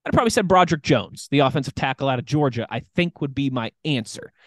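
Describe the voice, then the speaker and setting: A man's voice: mid-range voice, expressive, fast, a bit bright, dry recording, studio quality. A man hosting a sports talk show, chatty and upbeat as he steers the segment. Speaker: sports radio host. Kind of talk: casual sports chat.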